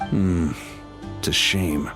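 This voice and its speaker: A man's voice: sits low, steady tone, slow pace, over music. A man voicing a laid-back, self-effacing knight leader in a video game, speaking in a casual, wry, conversational way. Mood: wry, modest.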